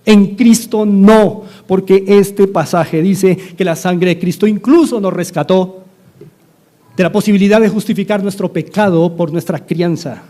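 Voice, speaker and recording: A man's voice: high-pitched, unhurried, dark tone. A middle-aged man with a Colombian accent, preaching a sermon as a pastor, earnestly repeating his exhortations. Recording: studio-like radio sermon.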